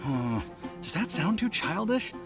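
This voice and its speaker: A man's voice: fairly high pitch, big pitch swings, a little slow, loud music behind the voice. A man voicing a shy, earnest young man in love in a video game, with a hesitant, stammering delivery. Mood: anxious. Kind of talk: video game character acting.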